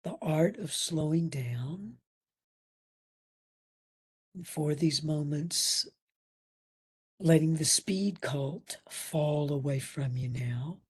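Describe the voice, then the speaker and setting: A woman leading a guided meditation as instructor, soft and breathy, soothing and gentle, leaving long pauses between phrases. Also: low-pitched. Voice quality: soft, breathy, at times near whisper.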